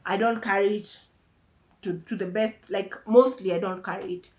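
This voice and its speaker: A woman, giving an earnest, emotional personal testimony on her channel, often halting and repeating words. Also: medium pitch, fairly even intonation, darkish tone. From Tanzania. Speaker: vlogger.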